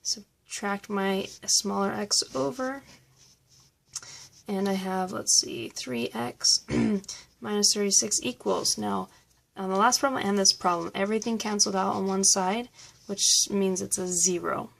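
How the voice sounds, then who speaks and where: A woman math teacher talking through review problems step by step, slightly hoarse from losing her voice. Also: mid-range voice, steady tone, unhurried, fairly bright tone, a little hiss or hum. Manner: patient, step-by-step explaining. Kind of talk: recorded math review lesson.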